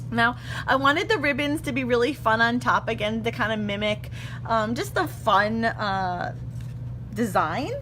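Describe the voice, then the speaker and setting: A woman in her thirties hosting a casual stamping craft demo, slightly hoarse, chatty and self-correcting, talking while she works at a table in her craft room. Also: on the high side, a bit bright. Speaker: craft tutorial host. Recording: clear background hum.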